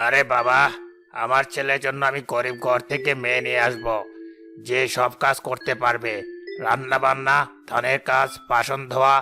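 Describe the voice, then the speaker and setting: A man's voice: medium pitch, level tone, moderate pace, over music, close to an everyday voice. A man playing a bossy, miserly, rich older village chief in a cartoon, speaking in a commanding and condescending way. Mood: self-important. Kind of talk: Bengali cartoon voice acting.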